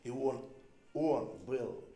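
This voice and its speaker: A man's voice: medium pitch, fairly even intonation, a crowd in the background. Man preaching in short phrases, echoey hall. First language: not Russian. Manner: pauses for interpreter, sings snatches of a hymn. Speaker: guest preacher. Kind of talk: sermon with live interpretation. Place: church hall.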